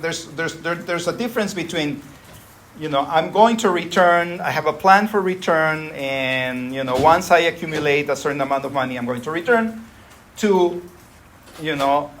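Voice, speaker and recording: A man lecturing to a class in an easy, conversational style, often checking that his listeners follow. His voice sits a little high. A faint hiss of background noise runs under the recording. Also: a bit bright. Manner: conversational, frequent tag "right?". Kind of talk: university lecture.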